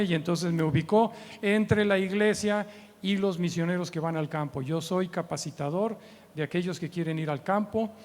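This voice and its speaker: A man's voice: fairly high pitch, a little mellow. A man in his early seventies with a Mexican accent, giving an informal, conversational church teaching with humorous anecdotes and frequent tag questions. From Mexico City, Mexico. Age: early 70s. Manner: conversational, humorous, often seeks agreement with short tag questions. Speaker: guest preacher and missions trainer. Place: church meeting hall. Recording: long hall reverb from church sound.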